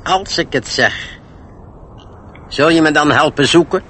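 An actor playing a secretive, self-important old gnome who talks in riddles in a radio play. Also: male voice, a little high, a little slow, fairly bright tone, a little hiss or hum. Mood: solemn, sly. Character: secretive, wary old bookish male gnome. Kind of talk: radio drama acting.

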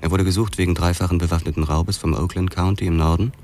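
A man's voice: sits low, steady tone, fast talker, darkish tone, a little hiss or hum. An actor playing a world-weary police detective who narrates his story in a hardboiled crime radio drama. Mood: dry, sardonic.

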